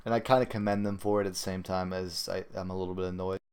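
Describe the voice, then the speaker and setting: A man hosting a casual gaming podcast, talking in a halting, rambling way. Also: deep voice, little pitch movement, darkish tone, smooth. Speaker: podcast host. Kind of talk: casual gaming talk show commentary.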